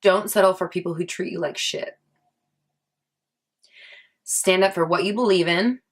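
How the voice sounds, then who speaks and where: A woman's voice: fairly low pitch, fairly even intonation, measured pace. A woman in her thirties talking candidly in a casual vlog, chatty and swearing freely, with many likes and restarts. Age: 30s. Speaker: vlogger.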